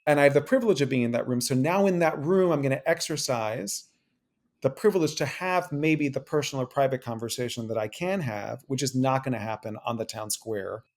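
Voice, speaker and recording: A man who is a guest on an interview show, talking casually, animated, restarting and repeating phrases. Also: mid-range voice, a little fast. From Cuban-American. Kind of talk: casual conversation. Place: New York City.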